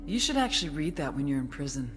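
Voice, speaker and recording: An actress playing a cool, firm intelligence agent, speaking in a stern, matter-of-fact scripted style. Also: female voice, deep voice, sing-song, fast talker, over music. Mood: stern, pressing. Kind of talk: scripted briefing and interrogation.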